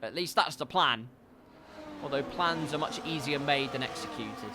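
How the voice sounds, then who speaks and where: A man with a stuffy, congested nose commentating a racing league, with wry asides that turn loud and excited when cars crash. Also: mid-range voice, moderate pace, noisy background.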